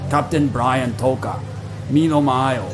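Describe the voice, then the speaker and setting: Male actor as small brusque cop, garbled polyglot street talk, mid pitch, radio drama. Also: slow, music in the background, lightly acted. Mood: curt, insistent. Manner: mumbo-jumbo multilingual slang, curt.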